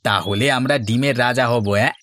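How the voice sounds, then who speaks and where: A man as an excitable, doubting cartoon villager. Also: medium pitch, normal speed, a little hiss or hum, natural voice. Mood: hopeful. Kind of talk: comic Bengali cartoon dialogue. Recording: music behind the voice.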